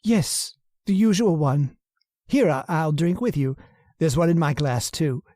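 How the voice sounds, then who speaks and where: An actor playing a weak, nervous husband with secret murderous intent in a radio horror drama, stammering and hesitant. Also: male voice, fairly high pitch, expressive, a little slow, warm tone, dry recording, clean studio sound. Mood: nervous, coaxing. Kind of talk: old-time radio horror acting.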